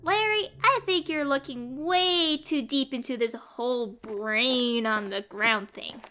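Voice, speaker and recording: A woman's voice: sits high, pushed and bright, faint music behind, full character voice. A woman voicing a cranky, violent, sarcastic young girl adventurer in a theatrical comic dub, drawing out her complaints. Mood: dismissive, sarcastic. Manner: sardonic, theatrical, drawn-out complaining. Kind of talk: comic fan-dub voice acting.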